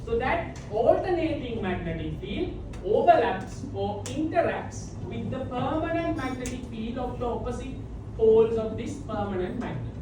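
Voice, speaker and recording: A woman's voice: a little high, lively intonation, moderate pace. A woman teaching a class as a lecturer, explaining step by step with repetition, recorded from the back of a large classroom. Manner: repetitive step-by-step explaining, often checking understanding. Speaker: physics teacher. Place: large tiled indoor classroom full of students. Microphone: far camera at back of room. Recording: distant, very echoey classroom with noisy room ambience.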